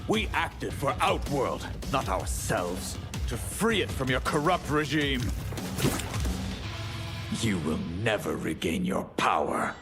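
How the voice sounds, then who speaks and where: Noble game warrior, earnest formal speech, slow pace, expressive pitch, loud score behind. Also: male voice, music in the background. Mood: defiant. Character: solemn noble male warrior mage, dutiful, self-sacrificing. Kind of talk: dramatic video game cutscene acting.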